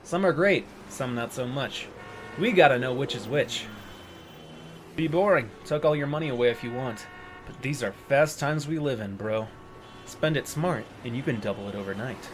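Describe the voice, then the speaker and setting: An actor playing a slick, talkative, cocky young yakuza in a video game fan dub, over guitar music. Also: male voice, medium pitch, moderate pace, slight background noise, lightly acted. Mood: chummy, boastful. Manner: cocky, chatty, casual.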